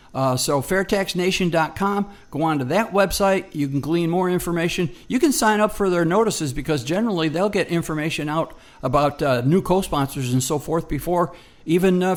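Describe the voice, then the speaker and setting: A man co-hosting a radio program in casual promotional chat, folksy and hesitant, repeating himself for emphasis. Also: a little high.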